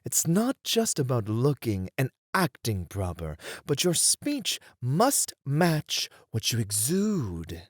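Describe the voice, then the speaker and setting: A man voicing a poised, courteous and patient etiquette teacher in an ASMR roleplay, speaking in a measured, formal, theatrical manner. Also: mid-range voice, huge pitch swings, no echo, studio quality, full character voice. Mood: eager, instructive.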